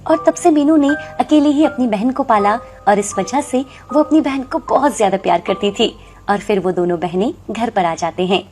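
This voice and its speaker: High-voiced woman narrating a story. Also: normal speed, a little mellow, faint music behind. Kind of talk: scripted moral story narration.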